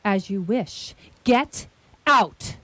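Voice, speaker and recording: A woman playing a commanding, coolly confident great detective in radio theatre, speaking crisply and deliberately. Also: medium pitch, animated, fairly bright tone, dry recording, exaggerated voice. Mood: stern.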